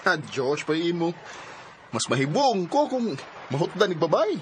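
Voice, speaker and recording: A man's voice: on the high side, big pitch swings, moderate pace. A man with a Cebuano accent acting a trusting, questioning young boyfriend who can turn suspicious, in a radio drama. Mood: curious. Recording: faint background noise.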